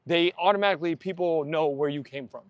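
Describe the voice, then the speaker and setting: Easygoing guest in his forties, talky and repetitive, a touch high-pitched. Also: fast, clean tone, dry recording, highs cut off. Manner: chatty, repeats words, leans on fillers. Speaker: podcast host, guest. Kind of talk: casual conversation while walking. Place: outdoors on a walk.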